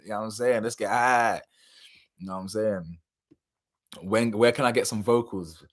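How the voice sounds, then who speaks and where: Slangy, slightly hoarse young man. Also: lowish voice, normal speed. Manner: slangy, animated, laughs often, drifts between loud bursts and mumbles. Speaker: YouTuber on a live stream. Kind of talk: casual live chat reading viewer comments.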